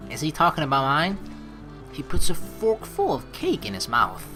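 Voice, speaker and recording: Female let's play host, low voice, lively intonation, chatty reading and reacting over game music. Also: moderate pace. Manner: playful, chatty, reacts to the story. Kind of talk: game playthrough, reading narration aloud with casual commentary.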